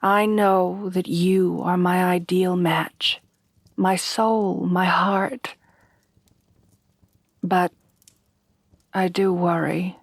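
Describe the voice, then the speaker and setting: Lady acting as a refined monster fiancee, soft slow asmr voice, lowish, super close and dry, proper wording. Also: steady tone, no echo, heavily acted. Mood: tender, reassuring. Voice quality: soft, breathy at times. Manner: formal, genteel, measured phrasing. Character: refined, tender headless horsewoman bride, gently insecure. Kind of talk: soft-spoken ASMR roleplay.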